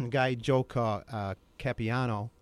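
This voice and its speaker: Male interview guest, middle-aged, casual and slightly halting delivery, close dry studio sound. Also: medium pitch, no room sound. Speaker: interview guest, documentary maker. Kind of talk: casual radio chat.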